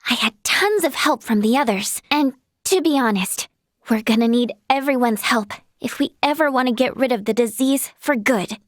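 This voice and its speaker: A woman voicing a cheerful, earnest young female healer in a fantasy video game, bubbly and expressive. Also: fairly high pitch, normal speed, no room sound, clean studio sound, natural voice. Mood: earnest, friendly. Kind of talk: animated fantasy game character acting.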